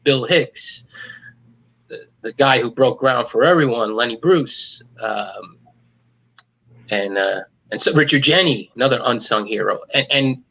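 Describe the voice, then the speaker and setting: A man's voice: medium pitch, unhurried, pauses often, poor audio. A middle-aged man from New York, a stand-up comedian, joking dryly and cynically in a casual interview over a video call. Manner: wisecracking, cynical, deadpan jokes.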